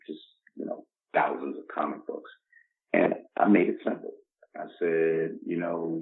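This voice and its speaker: A man, talking casually and motivationally as a podcast guest, emphatic and given to acting out dialogue. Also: low-pitched. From the Bronx, New York, United States. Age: 50s. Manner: emphatic, acts out imagined dialogue. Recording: dull, muffled lo-fi recording.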